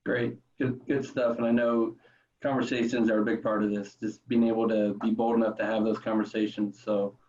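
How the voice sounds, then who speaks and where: A man hosting an interview, affirming his guests and moving smoothly to the next question. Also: on the low side, level tone, moderate pace, a little mellow, far from the mic, muffled recording. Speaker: host. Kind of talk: interview host.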